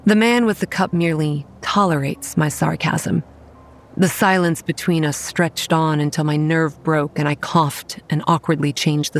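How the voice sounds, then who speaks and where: An actress playing a sarcastic, determined young woman who tells her own story in a horror audio drama. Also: deep voice. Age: young adult. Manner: wry, first-person storytelling.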